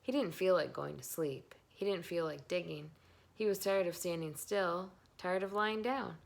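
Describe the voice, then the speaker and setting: Female storybook reader: slightly low voice with creaky fry, expressive read-aloud narration. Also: normal speed.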